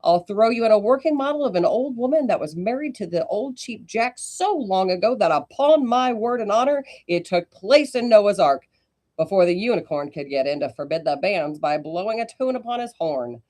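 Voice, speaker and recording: A woman voicing a brash old male street auctioneer who teases the crowd in loud, rising sales patter. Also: mid-range voice, animated, normal speed, natural voice. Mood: hawking.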